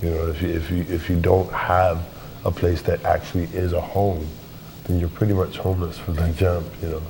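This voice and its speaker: A man in his twenties giving deliberate, philosophical answers as an interview guest. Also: low-pitched, flat delivery, warm tone, poor audio. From born in Jamaica. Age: 20s. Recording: faint background noise.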